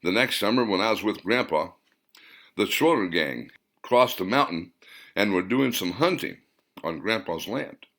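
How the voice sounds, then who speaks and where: A man's voice: on the low side, lively intonation, measured pace, a bit bright, heavily acted. A man voicing an earnest male character who tells nostalgic, dramatic boyhood memories in an audiobook. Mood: earnest, suspenseful. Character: earnest male storyteller recalling boyhood summers with Grandpa.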